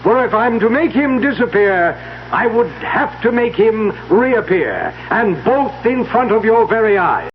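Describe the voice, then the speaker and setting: An actor playing a grandiose stage magician in an old radio drama, addressing the house with deliberate, theatrical showmanship. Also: male voice, high-pitched, very animated. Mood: grand, mysterious. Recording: muffled vintage radio recording, faint hiss.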